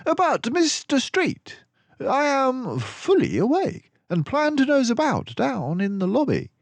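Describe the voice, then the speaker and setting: A man voicing a brisk, confident, jaunty gentleman detective mouse from a children's storybook, with theatrical self-assurance. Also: very high-pitched, wildly swooping pitch, vocal fry, no room sound, voice pushed up, exaggerated voice. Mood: brisk, eager.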